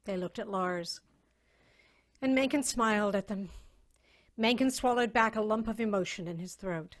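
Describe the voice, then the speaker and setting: Woman reading story narration, dramatic, normal mid pitch. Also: moderate pace.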